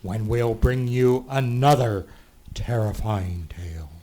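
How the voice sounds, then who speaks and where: Man as an ominous, slow-talking suspense narrator. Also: medium pitch, natural voice. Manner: measured, theatrical. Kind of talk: 1940s radio suspense narration.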